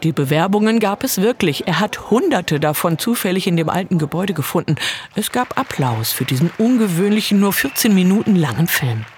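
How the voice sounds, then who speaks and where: A woman reading a scripted culture report voice-over with an expressive delivery. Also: lowish voice, a little fast.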